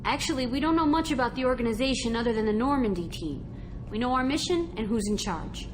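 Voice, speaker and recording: A woman voicing an earnest, loyal, gently teasing starship engineer in a video game cutscene. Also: a little high, lively intonation, fast, natural voice. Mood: fond, matter-of-fact. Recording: noisy ship ambience behind.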